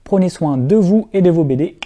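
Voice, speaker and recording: A man's voice: high-pitched, animated, brisk, mellow, a little hiss or hum. A young man hosting a scripted video essay, earnest and direct, with occasional wry asides to his viewers.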